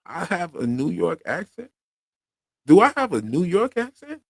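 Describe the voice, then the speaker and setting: A man with a Philadelphia accent hosts a relaxed livestream, talking in easy slang with lots of fillers. His mid-range voice carries a gravelly edge, and the sound is close and dry. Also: high voice, measured pace, no room sound. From Pennsylvania. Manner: laid-back slangy talk with frequent fillers. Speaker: podcast host. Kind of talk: casual livestream chat.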